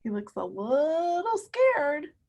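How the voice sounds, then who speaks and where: A woman teacher reading a picture book aloud to children, pausing to ask them simple, encouraging questions. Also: high-pitched, very animated, a little mellow.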